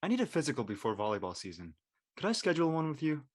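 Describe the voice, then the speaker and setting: A man's voice: fairly high pitch, very animated, rapid-fire, no echo, studio quality. A man playing a curious, casual teenage nephew in a live script reading. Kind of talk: naturalistic live table-read acting.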